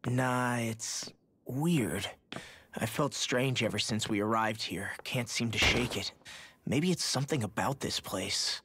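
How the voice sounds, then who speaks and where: A man's voice: medium pitch, unhurried, pushed and bright, slight background noise. An actor playing an easygoing, practical young male adventurer in a video game, casual and colloquial. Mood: distracted. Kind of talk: video game cutscene acting. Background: music.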